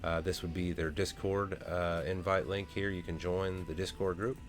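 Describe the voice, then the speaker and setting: A man's voice: sits low, little pitch movement, moderate pace. A man, casually talking through a crypto project on a screen share with many ums and uhs. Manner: rambling, many fillers. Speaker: crypto vlogger.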